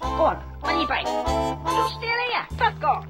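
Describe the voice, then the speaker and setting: A man's voice: very high-pitched, big pitch swings, unhurried, loud music behind the voice, full character voice. A man voicing a crude, blunt dung beetle in a video game. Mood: bossy, irritated. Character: gruff, foul-mouthed male dung beetle.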